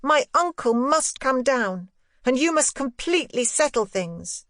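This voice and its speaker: Woman acting a high-voiced precocious little boy. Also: big pitch swings, a bit bright, no echo, studio quality, full character voice. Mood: insistent, exultant. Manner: languid, teasing, deliberate. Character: precocious young boy, sweetly evasive, serenely defiant. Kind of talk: audiobook character voice, literary ghost story.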